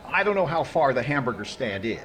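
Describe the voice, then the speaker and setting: An actor playing a vain, stingy middle-aged comedian in old radio comedy style, with deliberate pauses and indignant questions. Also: male voice, medium pitch, very animated, a bit bright. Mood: sheepish. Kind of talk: 1950s radio comedy acting. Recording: live crowd, noisy audio with clicks.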